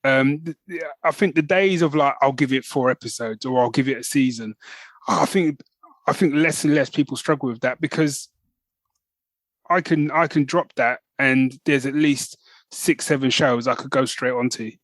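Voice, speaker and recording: A man's voice: mid-range voice, moderate pace, a bit bright, no echo. A man co-hosting a podcast, chatting casually and rambling with restarts. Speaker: podcast co-host. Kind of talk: casual chat.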